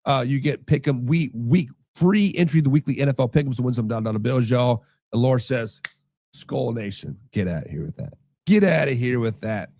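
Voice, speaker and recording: Hoarse sick man talking football. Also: mid-range voice, lively intonation, fairly quick, mellow, no room sound, studio quality. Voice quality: hoarse, gravelly. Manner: rambling, repeats himself, lots of fillers. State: losing his voice, sick. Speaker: podcast host. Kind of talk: casual sports talk reading live chat.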